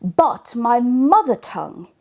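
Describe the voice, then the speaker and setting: A woman's voice: fairly high pitch, sing-song, slow pace, dark tone. A woman from Norway, a native Norwegian speaker, talking to camera as a book blogger in a thoughtful, hesitant way as she searches for words. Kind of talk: casual vlog talk to camera.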